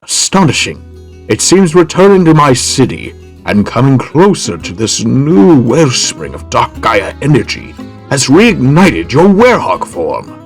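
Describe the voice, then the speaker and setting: A man's voice: medium pitch, huge pitch swings, unhurried, husky, soft background music, heavily acted. A man playing a learned, grave older professor with a formal, scholarly manner in a fan audio drama. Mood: fascinated.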